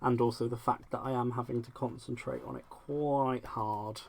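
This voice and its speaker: A man with a soft West Country accent hosts a live crafting stream. He chats along in a relaxed, self-deprecating way and laughs often as he works. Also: medium pitch, normal speed, creaky. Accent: West Country English. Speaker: craft livestream host. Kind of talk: casual live-stream chat while crafting.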